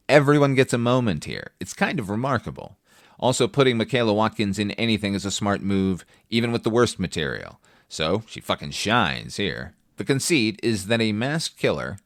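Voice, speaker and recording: A man's voice: lowish voice, no room sound, clean studio sound. A man hosting a film review podcast, delivering a scripted but conversational monologue in an opinionated, wry, casually profane way.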